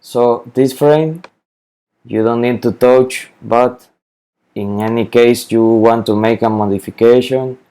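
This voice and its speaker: Guy slowly walking you through a spreadsheet, mellow voice, keeps restarting his words. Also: mid-range voice, steady tone. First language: Spanish. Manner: halting, repeats words, many fillers. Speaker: spreadsheet tutorial maker. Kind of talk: screen-recorded software walkthrough.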